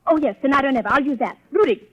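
An actress playing a passionate, proud, temperamental opera diva in a theatrical, imperious 1930s radio drama style. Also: female voice, sits high, animated, very fast. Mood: excited, defiant. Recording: muffled old 1930s radio recording.

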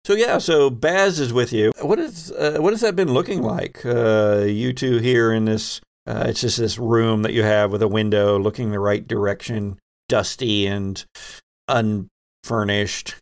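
A man's voice: fairly low pitch, measured pace. A man narrating a tabletop roleplaying game as game master, speaking conversationally and descriptively with wry asides.

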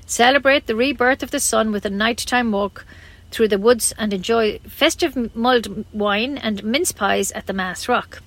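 A woman's voice: fairly high pitch, normal speed. A woman with an Irish accent reading scripted local news as a community radio newsreader.

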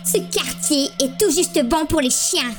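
Exasperated teenage schoolgirl, played by a woman, very high voice, dramatic and complaining, horror audio play over prominent music. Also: measured pace. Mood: sulky, sarcastic. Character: exasperated schoolgirl sulking at her mother.

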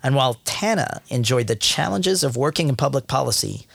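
A man's voice: mid-range voice, animated, brisk, creaky, no echo. A man narrating a career podcast from a script, with a playful, wry tone. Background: soft music.

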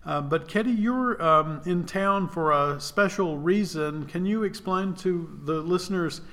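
A man hosting a theater podcast and interviewing a guest, measured, with many uhs and restarts. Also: high voice, a bit bright. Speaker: podcast host, theater artistic director.

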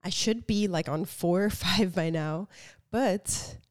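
A woman's voice: on the low side, slow pace, no echo, clean studio sound. Congested, nasal young woman podcaster. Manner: open, heartfelt, frequent "like" fillers. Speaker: podcast host. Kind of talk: casual solo podcast talk. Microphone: studio podcast mic.

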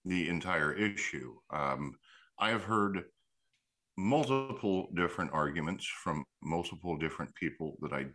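A man hosting a casual discussion, talking in a measured, thoughtful way with many pauses. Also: a bass voice, a bit bright.

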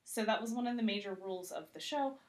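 A woman's voice: medium pitch, fast. A woman chatting casually as an interview guest, self-deprecating and humorous, peppering her talk with "like". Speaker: podcast guest, TV critic.

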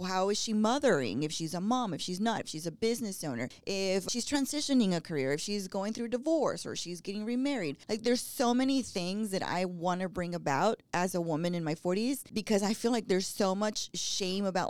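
A woman's voice: medium pitch, dry recording. A woman in her forties hosting a podcast, talking candidly and thinking aloud in a casual chat. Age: 40s. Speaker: podcast host.

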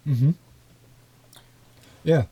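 Dutch guy with a really dark mellow voice, halting, lots of uh and um. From east of the Netherlands. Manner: hesitant, halting with many fillers. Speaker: guest. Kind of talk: casual conversation.

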